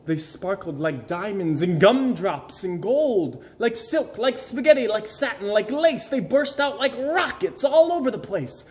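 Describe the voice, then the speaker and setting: A man reading a rhyming children's story aloud, theatrically, with dramatic emphasis and pauses. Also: high-pitched, expressive, darkish tone. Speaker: storybook reader. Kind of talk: expressive scripted children's read-aloud.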